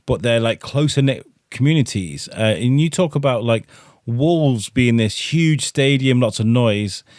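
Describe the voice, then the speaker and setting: A man's voice: medium pitch, darkish tone, no room sound, studio quality. A man hosting a podcast, asking questions in a chatty, jokey way. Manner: chatty, jokey, with playful impressions. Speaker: podcast host. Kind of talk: casual podcast interview.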